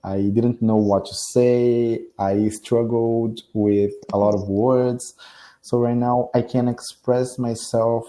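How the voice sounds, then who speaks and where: Brazilian guy practicing english on a video call, slow and halting, really dark sound. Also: mid-range voice, little pitch movement, poor audio. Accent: Brazilian Portuguese. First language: Portuguese. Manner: hesitant, restarts phrases, laughs often. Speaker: English learner. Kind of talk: casual online lesson chat.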